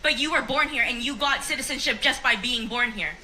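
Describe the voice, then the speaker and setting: A woman's voice: a little high, a little fast, pushed and bright, slight background noise. A woman in a debate, asking pointed, challenging questions. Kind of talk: street debate.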